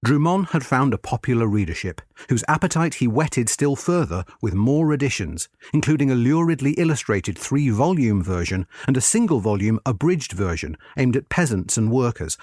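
A male narrator reads a scripted magazine piece in a very animated, rising and falling voice. His tone has a husky grain, and he places his stresses deliberately. The sound is dry, with no room around it. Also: mid-range voice, no echo. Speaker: narrator reading a history magazine article.

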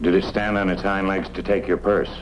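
An actor playing a talkative, plain-spoken police detective sidekick in an old-time radio drama. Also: male voice, deep voice, level tone, brisk, lightly acted. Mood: puzzled, questioning. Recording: dull, hissy old radio recording.

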